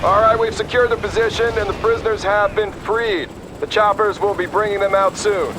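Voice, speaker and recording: An actor playing a gung-ho, combative army captain in a military video game, talking in brash soldier fashion. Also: male voice, high voice, fairly even intonation, brisk, background noise, close to an everyday voice. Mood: fired up. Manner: brash, forceful soldier talk. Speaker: army field officer.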